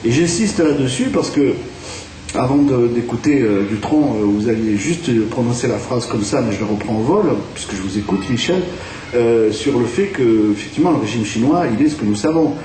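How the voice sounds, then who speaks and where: A man's voice: medium pitch, expressive, fairly quick, warm tone. A man from France, a theatre director, talking in a radio interview with many fillers and restarts. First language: French. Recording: distant, echoey room with faint hiss.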